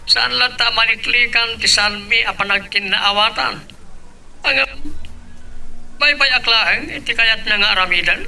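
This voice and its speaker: A man with an Ilocano accent playing an excitable, stern, wealthy father in a radio drama. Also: very high-pitched, moderate pace, gravelly, faint music behind, exaggerated voice, rough recording. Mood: exasperated.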